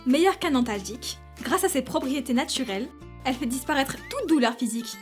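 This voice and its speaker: An actress plays an earnest female activist in a radio drama. Her voice is high, with faint music behind. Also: lively intonation, fairly quick. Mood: promotional. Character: female narrator and remorseful activist. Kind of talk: scripted audio drama acting.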